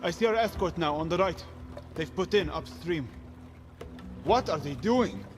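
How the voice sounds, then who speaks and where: A man's voice: sits high, lively intonation, measured pace, a little hiss or hum. A man playing a watchful, blunt guard and guide in an audio adventure game, terse and commanding. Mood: watchful, tense. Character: seasoned male guard and guide, watchful, blunt, gruff protector.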